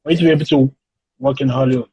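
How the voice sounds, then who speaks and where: A man's voice: medium pitch, steady tone, racing, muffled recording. A man with a Nigerian accent hosting an interview on a video call, encouraging his guest. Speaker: host, interviewer. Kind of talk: casual interview conversation.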